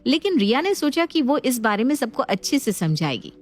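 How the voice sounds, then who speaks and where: A woman's voice: fairly high pitch, big pitch swings, normal speed. Woman narrating a family story.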